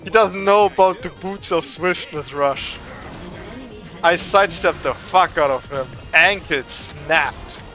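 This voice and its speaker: A young man with a German accent, giving excitable, swearing, trash-talking gameplay commentary. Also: on the high side, takes it slowly, soft background music. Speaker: gaming streamer.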